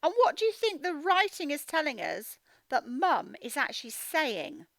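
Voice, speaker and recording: A woman's voice: high-pitched, sing-song, normal speed, gravelly, fairly bright tone, dry recording. A woman reading a storybook as a phonics teacher, sounding out words and putting questions to young children. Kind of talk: interactive storybook read-aloud lesson.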